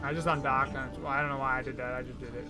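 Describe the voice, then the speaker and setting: A man streaming a game and chatting on voice chat, quick and restless, often repeating himself. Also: mid-range voice, level tone, bright, forceful tone, soft background music. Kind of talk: casual gaming chat. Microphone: desk USB mic.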